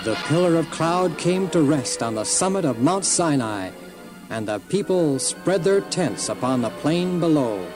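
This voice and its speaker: Man, solemn narrator, stately delivery, slightly high voice, music behind. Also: sing-song, a little slow, low-quality recording. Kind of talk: solemn scripted storybook narration.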